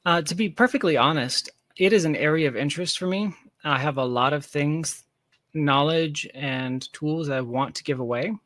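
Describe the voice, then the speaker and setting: A man's voice: on the high side, normal speed, fairly bright tone, no echo, studio quality. A man speaking as an interview guest, explaining his work casually and candidly with emphatic, self-deprecating asides. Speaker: interview guest, software founder.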